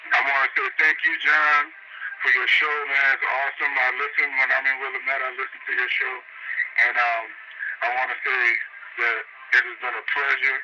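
A man's voice: medium pitch, little pitch movement, moderate pace, muffled recording, background noise. A man being interviewed on the radio about his shops, talking in a laid-back, rambling way full of "you know". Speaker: guest business owner and musician. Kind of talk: casual on-air interview.

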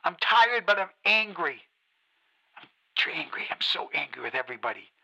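A man's voice: high-pitched, normal speed, bright, forceful tone, full character voice, low-quality recording. An actor playing a male radio DJ who rants and pleads on air during a zombie outbreak. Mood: despairing. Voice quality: ranting, pleading. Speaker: radio host. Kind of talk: radio drama acting.

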